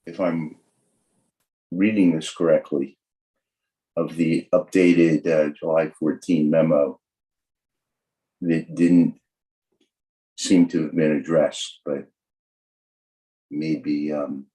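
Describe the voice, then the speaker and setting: A hoarse man with a cold, a commission member raising points hesitantly at a meeting, heard from a distance. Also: very deep voice, flat delivery, takes it slowly, long pauses, dark tone. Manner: hesitant, tentative.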